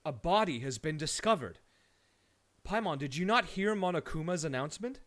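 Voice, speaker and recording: A man's voice: a little high, animated, moderate pace, a bit bright. A man giving casual, joking live commentary as a game streamer, irreverent and sweary.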